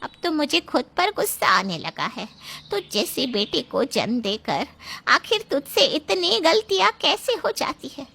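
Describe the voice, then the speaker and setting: An earnest, reproachful woman from a family moral story, acted by a woman. Her voice is very high and bright with a noticeable creak. She speaks in a questioning, dramatized way. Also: big pitch swings, a little slow, full character voice. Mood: disappointed reproach.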